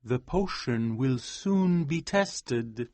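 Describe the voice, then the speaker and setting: A man's voice: mid-range voice, lively intonation, very slow, a little mellow, dry recording. Man putting on an intense obsessive scientist. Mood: earnest tender. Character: anxious obsessive male scientist husband.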